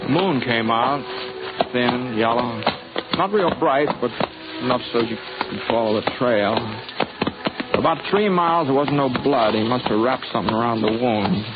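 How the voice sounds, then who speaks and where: An actor playing a laconic, steady Old West drifter in a 1950s radio western, with a folksy, understated American delivery. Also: male voice, medium pitch, normal speed, lightly acted. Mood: reflective narration. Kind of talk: 1950s radio western acting and first-person narration. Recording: noisy, muffled 1950s radio recording.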